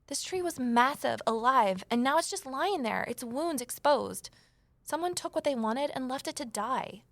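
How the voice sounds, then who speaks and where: An anxious, earnest young woman investigating small-town trouble in an audio drama. Her voice has a frequent fry and sits very dry, and she confides with intensity. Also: high voice, lively intonation, normal speed, fairly bright tone, no room sound. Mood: pained. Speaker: lead character and first-person narrator. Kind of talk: full-cast audio drama acting and narration.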